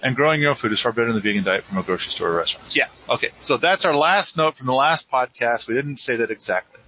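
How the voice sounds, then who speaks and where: A man's voice: medium pitch, animated, fast talker, pushed and bright, muffled recording, slight background noise. A man hosting a live podcast in a rambling, casual, self-deprecating way. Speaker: podcast host.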